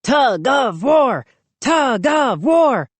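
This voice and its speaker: A woman voicing gleeful, mischievous gnomes in a shrill, taunting, chanting way for a storybook. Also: animated, slow pace, no echo, heavily acted.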